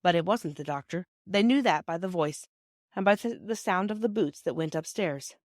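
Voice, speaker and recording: A woman's voice: on the low side, expressive, moderate pace, no echo. British woman narrating, very dry close sound. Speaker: audiobook reader. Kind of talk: scripted storybook narration.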